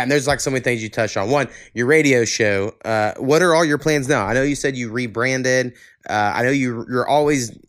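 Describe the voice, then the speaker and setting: A man's voice: mid-range voice, fairly bright tone, clean tone, no room sound, clean studio sound. A man hosting a casual chat, speaking in an informal, laid-back, self-deprecating way. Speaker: podcast host.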